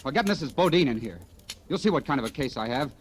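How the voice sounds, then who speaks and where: A man's voice: medium pitch, lively intonation, fast, slight background noise, lightly acted. A man playing a desperate, defiant American fugitive in old radio drama style, clipped and urgent. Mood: defiant, angry. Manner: hard-boiled, clipped, urgent. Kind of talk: 1940s-50s radio drama acting and narration. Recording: old radio broadcast with music.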